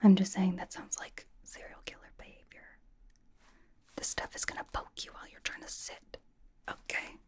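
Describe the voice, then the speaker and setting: A woman playing a sassy, vain young K-pop star doting on a fan in an ASMR roleplay, in a breathy whisper with teasing, deadpan delivery. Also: normal speed, close to an everyday voice. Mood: mock exasperated.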